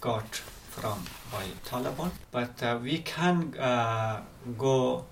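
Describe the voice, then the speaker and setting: A middle-aged man from Afghanistan speaks halting, English, pausing often and reaching for words. His voice is gravelly with a rough edge. A faint hiss of noise sits behind him. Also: mid-range voice. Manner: halting non-native English with many pauses and fillers. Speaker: guest. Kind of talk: interview, telling his life story.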